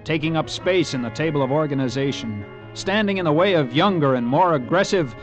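An American actor playing an eager, resentful young cavalry lieutenant in an old radio drama, with an earnest military manner. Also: male voice, fairly high pitch, expressive, brisk, soft background music, natural voice. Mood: bitter, resentful. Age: young adult. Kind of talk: 1940s-50s radio acting.

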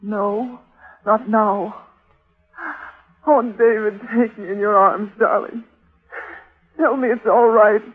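An actress playing a fragile, haunted young woman under a hypnotic spell in a 1940s radio mystery, melodramatic and breathy. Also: medium pitch, fairly even intonation, takes it slowly, pauses often. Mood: pleading, anxious. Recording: tinny old radio recording with music.

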